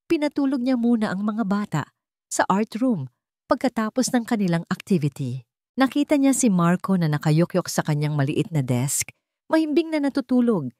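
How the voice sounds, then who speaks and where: A woman's voice: medium pitch, sing-song, normal speed, a little mellow, no echo, clean studio sound. A woman with a Filipino accent narrating a dramatic scripted story.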